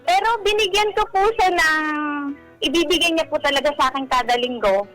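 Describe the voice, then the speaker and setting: Female caller, high voice, chatty and respectful, run-on casual storytelling over clear background music. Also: a bit bright, low-quality recording. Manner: talkative, rapid run-on storytelling, polite. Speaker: caller seeking relationship advice. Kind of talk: casual personal account to radio hosts. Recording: music playing behind.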